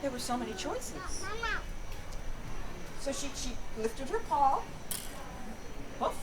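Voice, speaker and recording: A woman improvising a fairy tale for a live audience, playful and pausing to invite suggestions. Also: sits high, very animated. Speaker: storyteller, performer. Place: outdoor Renaissance festival with audience. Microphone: distant camera. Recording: noisy outdoor festival, distant mic.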